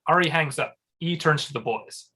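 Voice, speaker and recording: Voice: medium pitch, normal speed, bright, forceful tone, husky. A narrator reading screenplay stage directions aloud in scripted narration for a table read.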